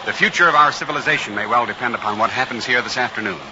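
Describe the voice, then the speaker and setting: An actor playing a solemn, tense field reporter giving a live report in a 1950s radio drama. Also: male voice, mid-range voice, rapid-fire, close to an everyday voice. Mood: solemn tension. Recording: very noisy, dull old outdoor-scene recording.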